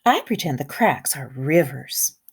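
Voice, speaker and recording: Husky woman teacher doing a kids read aloud, slow pace, chatty little asides. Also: deep voice. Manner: expressive, engaging young listeners with questions and comments. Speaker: kindergarten teacher.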